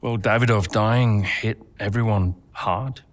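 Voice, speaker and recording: An actor playing a reflective racing team insider in a video game, talking matter-of-factly as if in a documentary interview. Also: male voice, fairly low pitch, steady tone, measured pace, lightly acted. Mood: regretful.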